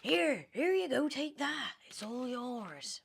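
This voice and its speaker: A British woman voicing a mysterious, excitable old man in a storybook, speaking in a theatrical, commanding, drawn-out way. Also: sits high, vocal fry, slightly rough, voice pushed up, exaggerated voice. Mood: excited, gleeful. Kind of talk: animated storybook character reading.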